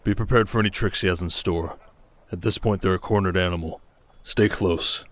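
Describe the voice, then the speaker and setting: A man playing a steady, protective desert king in an ASMR audio drama, speaking in a measured, intimate way. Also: sits low, lightly acted. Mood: guarded.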